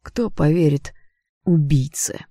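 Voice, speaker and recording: A man voicing a bitter, anguished young man out for revenge in an audiobook, with a hoarse, snarling and mocking delivery. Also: fairly high pitch, slow, warm tone, no room sound, studio quality.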